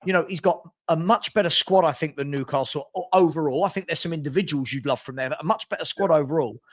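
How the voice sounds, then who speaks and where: High-voiced man pressing his point. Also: quick pace. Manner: probing, builds his case step by step. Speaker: football fan panelist. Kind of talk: heated football debate on a livestream.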